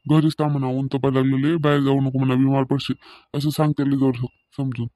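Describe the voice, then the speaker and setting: Guy playing village dad in a cartoon, rural varhadi marathi, flat delivery, coaxing, dry close sound. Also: mid-range voice, quick pace, dry recording, lightly acted. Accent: rural Marathi, Varhadi dialect. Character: village family men, fathers haggling, practical and placating. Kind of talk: animated family comedy dialogue.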